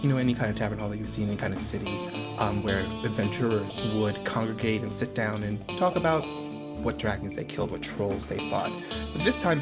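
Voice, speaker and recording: Man game-mastering over loud guitar music. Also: lowish voice. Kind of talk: improvised scene-setting for a tabletop game. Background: loud plucked guitar music.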